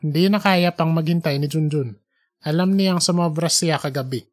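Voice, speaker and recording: A storyteller with a middling pitch reads scripted fiction for an audiobook podcast. The delivery is that of a narrator telling a tale. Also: normal speed.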